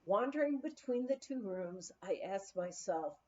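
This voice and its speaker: A woman reading a memoir aloud in an expressive storytelling style. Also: medium pitch, measured pace, muffled recording. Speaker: audiobook reader.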